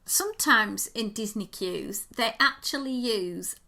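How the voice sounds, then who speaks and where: A woman co-hosting a casual chat podcast, her fairly high voice bright and gravelly with croakiness. She gushes with enthusiasm over small details. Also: measured pace. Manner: gushing, enthusiastic about details. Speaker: podcast co-host.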